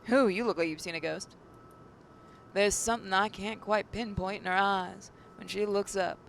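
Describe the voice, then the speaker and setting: A woman's voice: mid-range voice, steady tone, normal speed, gravelly, slight background noise. A woman gaming host reading visual novel narration aloud, with occasional stumbles.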